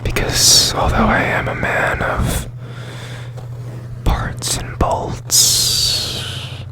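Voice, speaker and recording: A man playing a strange, secretive, tenderly curious repairman in an ASMR roleplay, in a breathy, halting whisper up close to the mic. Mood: mysterious, probing. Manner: slow, halting, hushed, lingering on phrases.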